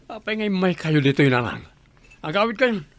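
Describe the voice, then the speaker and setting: A man's voice: sits high, expressive, moderate pace, no echo. Dry-sounding, irritable Ilocano husband played by a man. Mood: irritated. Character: irritable, stubborn husband who left his family. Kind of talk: radio drama acting.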